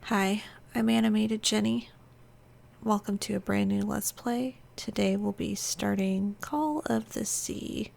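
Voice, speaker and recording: A woman's voice: medium pitch, fairly even intonation, measured pace, gravelly, a little hiss or hum. A woman hosting a let's play with casual, chatty game commentary and wry asides. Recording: loud music behind the voice.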